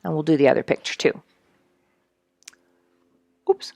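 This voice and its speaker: A woman reading a children's story aloud with expressive, emphatic storytelling. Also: sits low, measured pace, vocal fry, no room sound. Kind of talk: read-aloud storybook narration.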